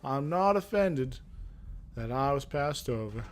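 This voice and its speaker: A man voicing a scarred young fantasy game warrior, bitter but earnest and eager to prove himself, with a solemn, measured, dramatic delivery. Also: medium pitch, lively intonation, a little hiss or hum, natural voice. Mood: bitter, resigned.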